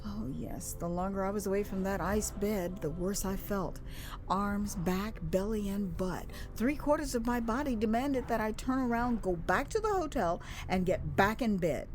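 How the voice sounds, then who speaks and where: An American woman narrating her own story as a dramatized audiobook, expressive and theatrical, with conversational asides. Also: mid-range voice, normal speed, slight background noise. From United States. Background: music.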